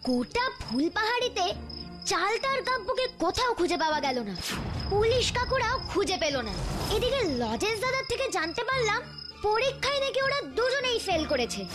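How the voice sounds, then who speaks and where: A woman playing an earnest, concerned young girl heroine thinking aloud in a cartoon, wondering and expressive. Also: very high-pitched, normal speed, loud music behind the voice. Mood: worried, determined. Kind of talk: cartoon monologue acting.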